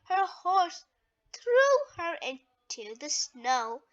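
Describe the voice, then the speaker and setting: A woman reading a children's story aloud in a deliberate, expressive way with emphatic phrasing. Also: extremely high pitch, muffled recording.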